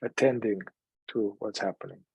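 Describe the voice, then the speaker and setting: A man leading a guided meditation in a calm, unhurried manner, leaving long pauses between phrases. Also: fairly low pitch, vocal fry, breathy edge, dry recording.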